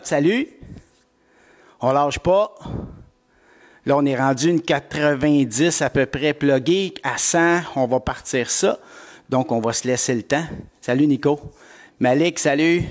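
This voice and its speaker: A man's voice: on the high side, measured pace, pauses often. A middle-aged man with a Québécois accent, coaching a live-streamed workout class and greeting viewers by name with lots of energy. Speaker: fitness coach.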